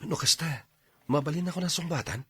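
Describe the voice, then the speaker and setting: An actor with an Ilocano accent playing an earnest, coaxing suitor in a radio drama. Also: male voice, a little high, fast talker, pushed and bright, husky, dry recording, exaggerated voice. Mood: eager. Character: earnest male suitor courting a middle-aged woman.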